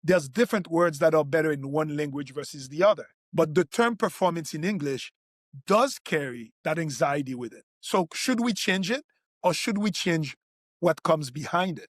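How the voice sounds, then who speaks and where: A man's voice: a little high, animated, normal speed, no echo, clean studio sound. A man who hosts a health podcast, a doctor whose first language is not English, explaining in an earnest tone with frequent restarts. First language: French or Creole. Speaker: physician podcast host.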